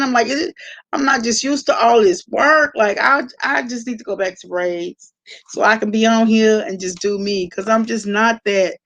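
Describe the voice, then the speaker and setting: A woman's voice: fairly high pitch, fairly bright tone, dull audio. A woman hosting a casual livestream, talking to viewers in an informal, slangy, conversational way. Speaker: live-stream host reacting to online gossip.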